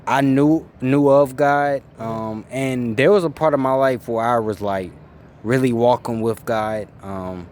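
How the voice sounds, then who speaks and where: A man in his twenties being interviewed, talking in a laid-back, casual way and often trailing off softly. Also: mid-range voice, unhurried, slight background noise. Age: 20s.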